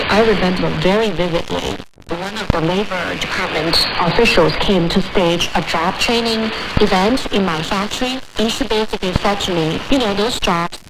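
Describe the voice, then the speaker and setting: A woman from China with a Chinese accent, answering interview questions earnestly about her factory. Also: medium pitch. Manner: earnest, slightly non-native phrasing. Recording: off-air shortwave radio with heavy noise.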